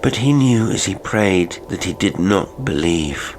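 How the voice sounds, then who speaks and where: A man narrating a classic horror audiobook in a measured, literary, theatrical manner. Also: deep voice, lively intonation, darkish tone, slight background noise. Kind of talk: dramatic horror story narration. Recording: music behind the voice.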